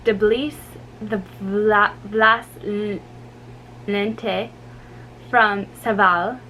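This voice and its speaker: Slow, halting woman reading a story. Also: medium pitch, fairly even intonation, bright, forceful tone, rough recording. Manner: halting, stumbles over foreign names. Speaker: amateur reader. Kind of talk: dramatic book reading. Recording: faint background noise.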